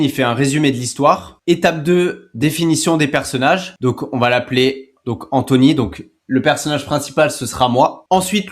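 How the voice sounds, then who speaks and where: A man hosting a tutorial in a casual, explanatory way, talking informally and directly to the viewer. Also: a little high. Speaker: tutorial host.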